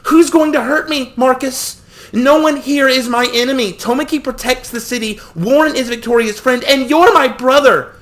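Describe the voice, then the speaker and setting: A man voicing a frightened young girl pleading with her brother, high and tearful. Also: moderate pace, fairly bright tone.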